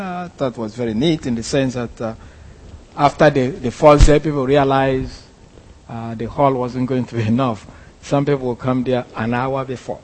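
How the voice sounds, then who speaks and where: A man's voice: mid-range voice, in a large hall, rough recording. A man from Nigeria with a Nigerian accent, a pastor telling a story in a sermon, hesitant, with many fillers and restarts. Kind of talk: sermon testimony.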